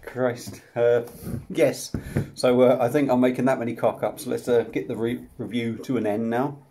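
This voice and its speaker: A man's voice: medium pitch, little pitch movement, moderate pace, warm tone. A man, casually reviewing a beer to camera at home, chatty and self-deprecating. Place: small room at home, indoors. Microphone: camera close up at desk level.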